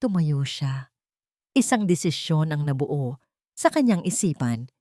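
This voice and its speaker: A woman's voice: fairly low pitch, a little mellow, no echo, studio quality. A woman reading dramatic scripted narration as an audiobook narrator.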